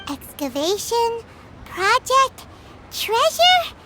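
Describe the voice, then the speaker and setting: A woman voicing a tiny, curious, excitable fairy companion with a squeaky childlike voice in a video game. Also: drawn-out words, slight background noise, exaggerated voice. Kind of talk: English video game voice acting.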